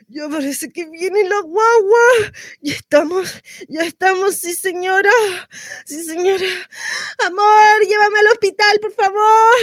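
A woman's voice: extremely high pitch, dry recording, clean studio sound. An actress with a Chilean accent playing a frantic, sardonic pregnant woman in an exclamatory, melodramatic theatrical monologue. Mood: panicked, in pain. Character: frantic, sardonic pregnant woman going through labour and motherhood.

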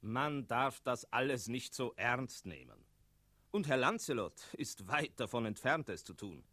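An actor playing a talking tomcat who narrates a 1960s German radio play in a wry, measured way. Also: male voice, mid-range voice, big pitch swings, sharp tone, exaggerated voice. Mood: wry, reassuring.

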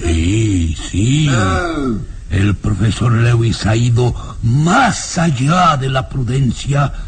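A man's voice: mid-range voice, drawn-out words, a little hiss or hum. A man playing a vengeful old nobleman villain in a radio serial, brooding and sinister in manner.

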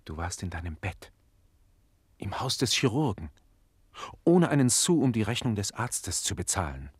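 A courteous, curious master in a radio play. The voice is deep and husky, with a dry, close studio sound. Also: male voice, big pitch swings, moderate pace, no echo. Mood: amused, courteous. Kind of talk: German radio drama acting.

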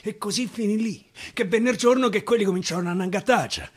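A man with a Roman accent reciting dialect verse theatrically, swinging from loud declaiming to a hushed whisper. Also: sits high, fast, breathy edge. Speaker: actor reciting dialect poetry. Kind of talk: theatrical poetry recitation for radio. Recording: live guitar accompaniment.

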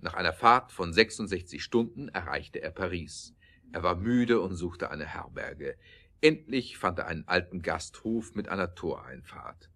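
A man narrating a scripted audio drama in a measured storytelling manner. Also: sits low, sharp tone.

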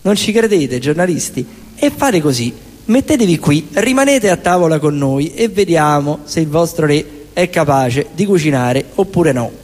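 A man's voice: on the high side, animated, normal speed, darkish tone, long reverb tail. A man playing a proud, defensive king from a comic stage fable, talking in a grandiose, indignant, folksy way. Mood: offended, boastful.

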